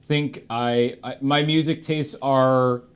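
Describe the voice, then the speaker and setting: A man hosting a panel in casual chat, thinking aloud in halting, broken fragments. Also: mid-range voice, steady tone, clear, resonant tone. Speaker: host of a charity stream panel.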